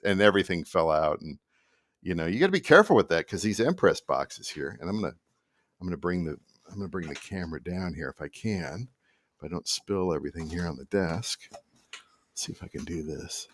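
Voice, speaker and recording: A man in his fifties talking casually and haltingly to camera at a desk, explaining things in an informal, digressive way. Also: low voice, expressive, slight background noise. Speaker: musician and vlogger. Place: small indoor room at a desk.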